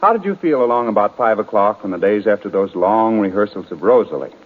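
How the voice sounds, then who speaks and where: Animated 1930s radio announcer man. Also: on the low side, fast. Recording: narrow, muffled vintage 1930s radio broadcast.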